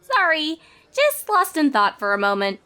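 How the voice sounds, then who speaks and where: A woman's voice: sits high, huge pitch swings, a little slow, pushed and bright, voice pushed up, full character voice. A woman voicing an earnest, bookish young female unicorn who is easily flustered, in a cartoon fan story reading. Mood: apologetic, brisk.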